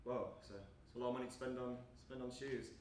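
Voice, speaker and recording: A man's voice: medium pitch, level tone, fast, a little mellow, lightly acted. A young man acting on stage as an awkward, eager, lonely gamer, rambling and apologising nervously. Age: young adult. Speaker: actor in a stage play. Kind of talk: live stage acting, naturalistic dialogue. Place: indoor stage performance space. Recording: echoey room from far off, background noise.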